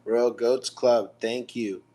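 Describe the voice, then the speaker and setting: A man's voice: mid-range voice, steady tone, slow, mellow. A man hosting a casual live online radio show and podcast.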